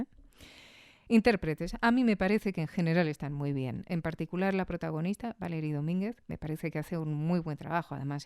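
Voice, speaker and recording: A woman's voice: lowish voice, creaky, dark tone, no room sound, clean studio sound. A woman with an accent from Spain hosting a podcast, giving a casual, reflective spoken review with conversational tag questions.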